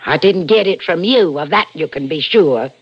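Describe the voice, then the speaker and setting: Fretful wife on lo-fi old radio. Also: female voice, deep voice, animated, normal speed, husky, heavily acted. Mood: aggrieved, coy. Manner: dramatic, aggrieved. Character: fretful working-class wife, dreaming of money and needling her husband. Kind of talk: vintage radio suspense acting. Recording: dull lo-fi old radio broadcast.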